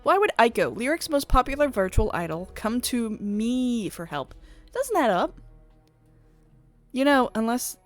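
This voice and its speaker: A woman's voice: on the high side, big pitch swings, a little slow. A woman voicing a prickly female idol superfan in a visual novel, blunt, indignant and sceptical. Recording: music playing behind the voice.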